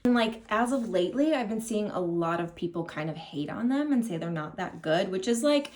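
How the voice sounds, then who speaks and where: A woman's voice: medium pitch, expressive, fairly quick, darkish tone. A woman chatting casually as a book vlogger, in an informal, rambling style where she often interrupts herself. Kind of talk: casual chatty vlog to camera.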